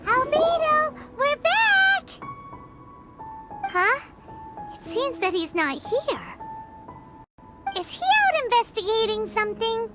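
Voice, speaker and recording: A woman voicing a tiny, cheeky fairy companion with a squeaky childlike voice in an English anime game dub, over background music. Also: sing-song, slow, long pauses, heavily acted. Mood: excited. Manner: refers to self in third person, exclaiming.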